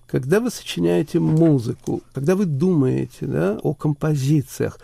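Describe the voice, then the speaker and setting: A man's voice: on the high side, moderate pace, gravelly, dark tone. A man conducting an interview, asking curious, probing questions. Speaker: radio interviewer.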